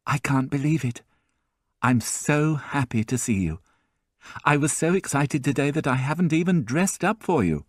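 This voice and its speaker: A young woman overflowing with happiness, soft and eager, as a male narrator puts her on. Her voice sits higher and quieter than his own, with a lively lilt. Recorded dry and close. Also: normal speed, no room sound. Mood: overjoyed. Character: young woman, breathless with joy and love.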